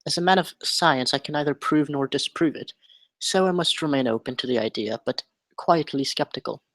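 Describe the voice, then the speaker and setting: A man acting an educated, melancholy doctor in a script table read, speaking in a formal, measured, articulate way. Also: on the high side, a bit bright, no echo, natural voice. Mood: reflective. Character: educated melancholy male doctor, dreamy captive scientist.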